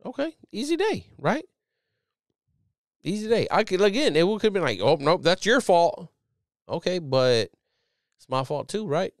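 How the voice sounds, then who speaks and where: A man in his thirties hosting a podcast, talking casually as a Navy chief, loud and profane with a strong voice. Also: sits high, normal speed, pauses often, dry recording, studio quality. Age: millennial, 30s. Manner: animated, profane, loud and emphatic. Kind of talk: casual solo podcast commentary.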